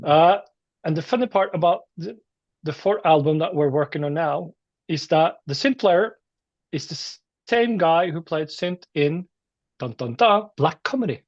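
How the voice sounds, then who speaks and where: A man with a Norwegian accent, a musician chatting casually and haltingly in an interview. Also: a little high, dry recording, highs cut off. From Norway. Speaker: metal musician being interviewed.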